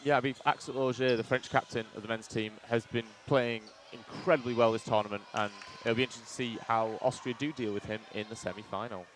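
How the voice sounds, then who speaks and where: Loud, quick-talking male colour commentator. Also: medium pitch, steady tone, a little hiss or hum. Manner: quick, reads out viewer comments, agreeable interjections. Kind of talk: live sports commentary. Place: commentary box beside an indoor court. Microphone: headset.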